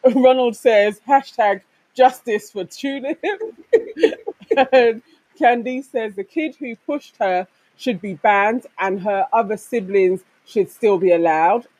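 A woman's voice: mid-range voice, slow, darkish tone, poor audio. A woman co-hosting a casual chat show, reading out listener comments and laughing along. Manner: reads comments aloud, laughs often.

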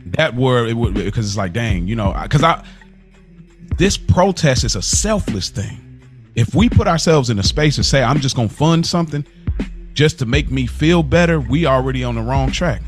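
A man with a mid-pitched voice in a casual podcast conversation. He sounds reflective and hesitant, questioning himself and restarting as he thinks aloud. Music plays clearly behind him. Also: expressive, normal speed. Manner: hesitant, searching, self-questioning. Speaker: podcast co-host.

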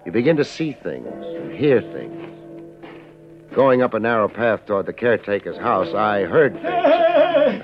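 A man's voice: medium pitch, natural voice. A man playing a wry, laid-back, hard-boiled private investigator in a 1950s radio detective drama, talking in clipped, sardonic slang. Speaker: lead actor and narrator of a detective series. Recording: muffled vintage 1950s radio recording with music.

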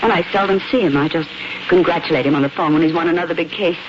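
An actress playing a dry, wry married older sister with an arch manner, in 1940s radio drama style. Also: female voice, low voice, quick pace, natural voice. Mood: wry, concerned. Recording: muffled, noisy 1940s radio recording.

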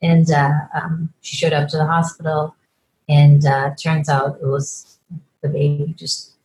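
Low-voiced Guyana-born woman doctor. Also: level tone, a little mellow, low-quality recording. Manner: measured, storytelling with frequent fillers. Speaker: fertility doctor, author. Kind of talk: podcast interview.

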